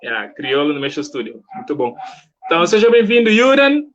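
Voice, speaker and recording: A male host with a Cape Verdean accent and a slightly high voice. He talks informally and welcomingly, as in a casual live online interview. The sound is somewhat muffled and lo-fi, like a stream. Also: very animated, fairly quick, fairly bright tone, slight background noise.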